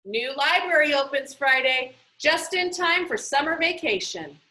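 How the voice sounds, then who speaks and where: Woman as storybook mom, busy, brisk, casual, high-pitched, animated intonation. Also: reverberant, full character voice, poor audio. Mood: interested. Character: busy mother chatting to her sons over breakfast.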